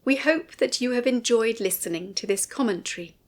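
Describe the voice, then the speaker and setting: A woman reads a scripted documentary commentary in a measured, formal way. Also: on the high side, animated. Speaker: narrator and writer of an audio commentary.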